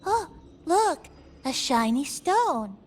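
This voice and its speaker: A woman voicing a small, excitable young female companion in a video game, exclamatory and plaintive. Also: sits high, slow pace, heavily acted. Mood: wide-eyed, chilly.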